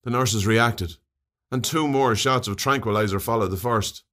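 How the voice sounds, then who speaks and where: A man with an Irish accent reading a comic short story aloud in an expressive, wry way. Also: on the low side, normal speed. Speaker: story reader.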